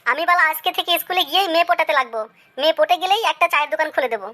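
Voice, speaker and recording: Cheeky schoolboy son for comedy cartoon, played by a woman: bright, quick, swaggering, exaggerated. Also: very high-pitched. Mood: scheming.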